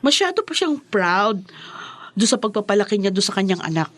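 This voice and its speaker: A woman's voice: mid-range voice, sing-song, fairly quick, fairly bright tone. An actress playing a reluctant young mother and daughter-in-law, hesitant in manner, in a radio drama. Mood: sullen, complaining. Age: young adult. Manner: hesitant, mixing in English words. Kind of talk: Tagalog radio drama acting.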